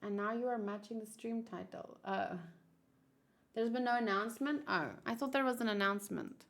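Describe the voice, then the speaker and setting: A woman's voice: on the high side, moderate pace, gravelly, a bit bright. A woman streamer chatting casually with her viewers, rambling and thinking aloud as she often repeats herself. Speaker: streamer hosting a manga read-along. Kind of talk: casual livestream chat with viewers.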